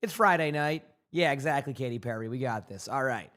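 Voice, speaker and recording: A male streamer chatting casually with his live chat, his voice hoarse and rambling with many restarts. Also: medium pitch, lively intonation, a little fast, fairly bright tone.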